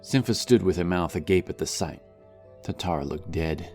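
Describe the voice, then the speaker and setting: A man's voice: sits low, normal speed, a little mellow. A man reading scripted fantasy narration as a dramatic audiobook narrator. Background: music.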